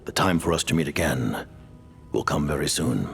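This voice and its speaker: A deep gravelly formal leader. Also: male voice, lively intonation, normal speed, fairly bright tone, full character voice. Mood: calm. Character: cold formal man, scheming leader. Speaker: Fatui leader. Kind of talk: video game voice acting. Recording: game cutscene with music.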